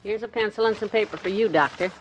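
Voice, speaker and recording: An actress playing a sensible, mock-stern middle-aged wife in a vintage radio comedy. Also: female voice, mid-range voice, fast talker, a little hiss or hum, close to an everyday voice, rough recording. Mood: patient, scolding. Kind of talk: 1950s radio comedy acting.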